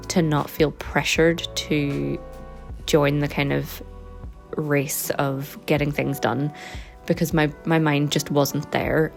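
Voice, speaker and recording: A woman talks in a loose, rambling vlog chat, dotting her sentences with ums. Her voice sits low and has a gravelly, croaky edge from hoarseness. Soft music plays faintly behind her. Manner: relaxed and rambling, with frequent ums. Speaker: vlogger and sticker and journal maker. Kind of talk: casual chatty vlog.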